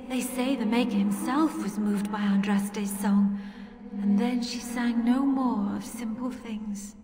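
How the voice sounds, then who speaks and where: Echoing, gentle ghost woman played by an actress. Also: fairly high pitch, steady tone, natural voice. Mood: wistful. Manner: solemn, measured. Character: gentle ghostly woman, wistful riddle-speaking guardian. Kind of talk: fantasy video game acting. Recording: cavernous reverb, noisy game ambience.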